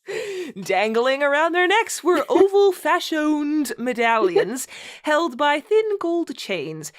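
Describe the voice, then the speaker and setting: A woman with a high, highly animated voice reads a story aloud. She takes her time over dramatic phrases, with a giggly, amused manner and asides of her own. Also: takes it slowly. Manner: giggly, often breaking into laughter while reading. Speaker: reader of a bad fantasy story.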